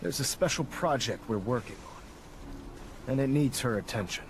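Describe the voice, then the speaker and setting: A man playing a stern, zealous military leader in a video game, speaking in a formal, authoritative, deliberate way. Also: mid-range voice, animated. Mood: grave, firm. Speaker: military commander briefing a soldier. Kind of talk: video game cutscene acting. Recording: noisy game audio with engine ambience.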